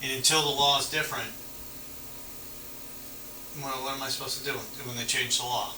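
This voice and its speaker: A man's voice: medium pitch, steady tone, brisk, bright, forceful tone. A male police officer talking casually, explaining things in a conciliatory, conversational way. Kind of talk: casual roadside explanation to a detained person. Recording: noisy, roomy, distant mic.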